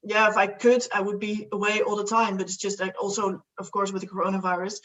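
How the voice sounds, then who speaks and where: A woman's voice: medium pitch, flat delivery, fast talker, fairly bright tone, distant mic. A young woman from the Netherlands, a guest chatting casually, rambling with many fillers and restarts. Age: young adult. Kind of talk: casual chat.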